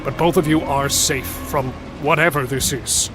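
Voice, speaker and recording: A man playing an irreverent smith and lore keeper explaining dark history in a fantasy audio drama. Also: a little high, fairly even intonation, measured pace, over music, lightly acted. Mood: reassuring.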